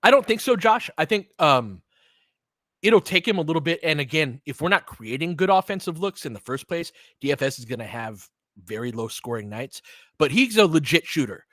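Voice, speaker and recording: A man's voice: fairly high pitch, animated, normal speed, a bit bright, no echo, clean studio sound. A man calling a basketball game in a conversational, slangy way, swearing casually as he mixes play-by-play with analysis. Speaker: podcast host doing play-by-play.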